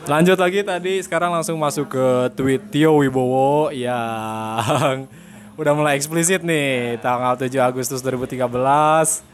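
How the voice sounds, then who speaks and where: A young man from Purwakarta, Indonesia, co-hosting a casual joking chat, telling stories in slangy, animated, giggle-prone fashion. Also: medium pitch, unhurried, faint music behind. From Purwakarta, West Java, Indonesia. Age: young adult. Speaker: podcast host.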